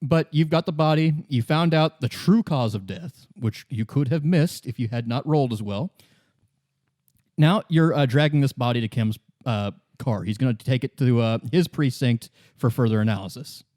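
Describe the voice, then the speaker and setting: A man acting as game master, narrating and explaining a story casually in halting, improvised phrases. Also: medium pitch, darkish tone, no echo, studio quality. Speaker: game master, podcast co-host.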